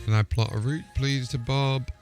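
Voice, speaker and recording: A man's voice: mid-range voice, fairly even intonation, moderate pace, darkish tone, soft background music. A man hosting a live gaming stream, talking casually as he plays and troubleshoots.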